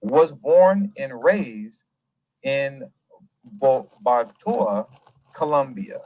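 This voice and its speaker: A man's voice: mid-range voice, very slow, dry recording, poor audio. Male show host, middle-range voice, reads the news and keeps cutting in with his own opinions; dry, close recording. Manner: reads a passage, then stops to add his own remarks; animated, interrupts himself with asides and laughs. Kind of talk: political commentary, reading an article aloud.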